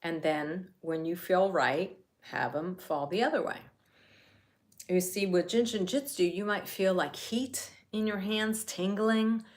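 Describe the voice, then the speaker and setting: A woman's voice: on the low side, measured pace. A middle-aged woman from New Jersey, talking casually while guiding a self-care healing session, chatty and rambling with many restarts.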